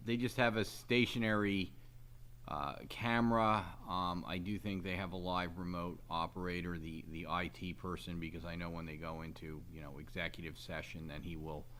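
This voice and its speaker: A man with a deep but forceful, bright voice talks in a meeting discussion, slightly noisy behind him. He answers hesitantly, adding qualifications as he goes. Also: flat delivery, normal speed. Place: town committee meeting room. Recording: noisy meeting room recording.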